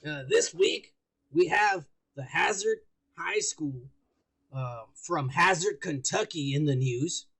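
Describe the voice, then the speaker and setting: A raspy, gravelly-voiced man with a high, lively pitch, co-hosting a podcast. He rambles in crude, profane casual talk, sounding high and loose as he drinks. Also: slow. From United States. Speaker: podcast co-host. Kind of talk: casual chat.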